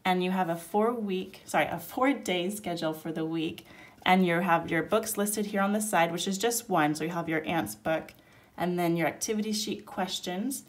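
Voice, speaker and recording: A woman with a cold who sounds nasal, walking through a homeschool curriculum in a relaxed, chatty way at a table. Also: lowish voice, moderate pace. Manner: relaxed, chatty, explaining step by step. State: sick, nasal. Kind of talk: casual product flip-through. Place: indoors at a table, hands-only overhead camera.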